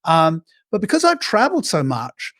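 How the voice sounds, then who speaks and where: A middle-aged man from England with an Australian-tinged English accent, speaking as an interview guest over a video call and acting out quoted thoughts in lively asides. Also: a little high, moderate pace, dry recording. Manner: animated storyteller, acts out quoted inner dialogue. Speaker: guest speaker on self-leadership. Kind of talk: conversational interview answers. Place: bright room with arched windows, indoors.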